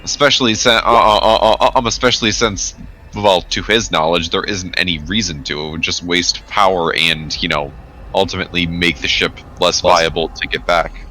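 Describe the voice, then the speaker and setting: A man roleplays casually at a tabletop game. His voice is fairly low yet bright and forceful. He stammers and repeats sounds while searching for his words. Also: steady tone, normal speed, a little hiss or hum, poor audio. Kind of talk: casual in-character roleplay talk.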